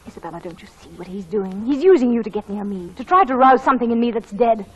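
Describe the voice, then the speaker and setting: A woman's voice: fairly high pitch, expressive, fast, close to an everyday voice. An actress playing a headstrong, passionate young married woman in a melodramatic 1940s radio style. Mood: angry, urgent. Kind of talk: 1940s radio drama acting. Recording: dull old radio broadcast.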